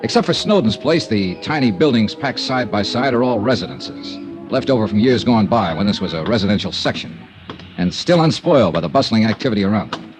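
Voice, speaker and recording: A man's voice: fairly low pitch, expressive, a little fast, over music, close to an everyday voice. An actor playing a wry, hard-boiled male insurance investigator, narrating and talking in a 1950s radio drama. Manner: laconic, wry first-person narration.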